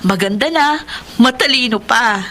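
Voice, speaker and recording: An actress playing an earnest young working wife in a Filipino radio drama, expressive and chatty. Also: female voice, fairly high pitch, measured pace, very noisy. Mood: grateful, playful. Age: young adult.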